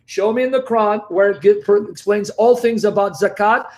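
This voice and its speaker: High-pitched, insistent male debater. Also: fairly even intonation, normal speed. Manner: insistent, confrontational, interrupting, repeats demands. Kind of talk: live religious debate.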